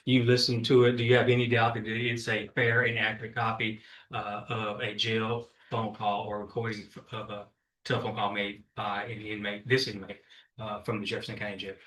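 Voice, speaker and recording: A man questioning as a prosecutor in a courtroom, speaking informally and haltingly. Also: fairly low pitch, little pitch movement, a bit bright, low-quality recording.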